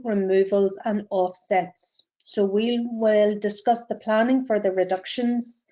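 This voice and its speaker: A woman with a Northern Irish accent presenting a webinar as a greenhouse gas verifier, speaking in a measured way with frequent ums and uhs. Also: mid-range voice, dark tone, muffled recording. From Northern Ireland.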